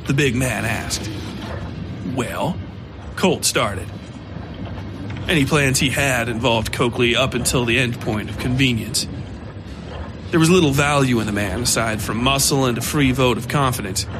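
A man giving scripted narration of a dark horror story. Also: mid-range voice, normal speed, background noise.

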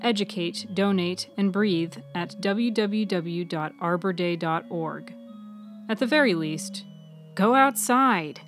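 A woman's voice: fairly low pitch, slow pace. A woman reading scripted narration for a fiction podcast, with music behind her.

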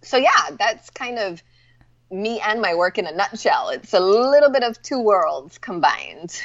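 A woman's voice: mid-range voice, lively intonation, measured pace, fairly bright tone, lo-fi sound. A woman in her forties from Barbados, a lettering artist chatting casually as a guest and often saying "you know.". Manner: chatty, with frequent "you know" fillers and light laughs. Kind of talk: casual interview chat.

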